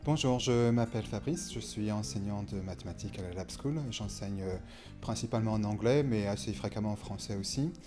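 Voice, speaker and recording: Male teacher, low voice, measured delivery, music bed. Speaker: math teacher. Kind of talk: recorded self-introduction.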